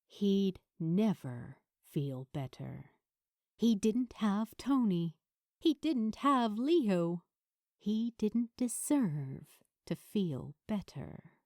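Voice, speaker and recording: A woman reading scripted fiction aloud as a narrator, with an expressive, dramatic delivery. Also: mid-range voice, drawn-out words, no echo, clean studio sound.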